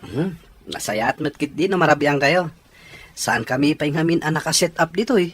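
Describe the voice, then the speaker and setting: A man acting an earnest, gentle young man in love, with an Ilocano accent, in a radio drama. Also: a little high, lively intonation, moderate pace. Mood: polite. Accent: Filipino, Ilocano. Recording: faint background noise.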